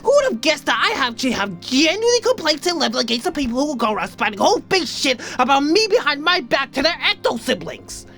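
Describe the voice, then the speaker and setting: A woman's voice: high voice, big pitch swings, fast, few pauses, fairly bright tone, full character voice. A woman voicing a sweary young male alien in a comic dub, sarcastic and wordy, prone to florid insults. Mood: indignant, sarcastic. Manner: indignant, sarcastic, verbose, sweary. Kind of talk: comic fan dub voice acting. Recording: music behind the voice.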